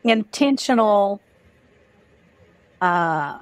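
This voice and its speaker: A woman's voice: mid-range voice, lively intonation, very slow, clear, resonant tone, no echo. A woman in her sixties hosting a casual interview over a video call from home, musing reflectively with many "you know"s. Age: 60s. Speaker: host. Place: home room. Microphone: video call webcam.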